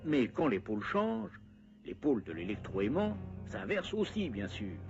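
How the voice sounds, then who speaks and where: A man's voice: medium pitch, sing-song, normal speed, dull audio, music in the background. A man narrating a scripted educational cartoon in a wry, conversational way, explaining step by step with playful asides.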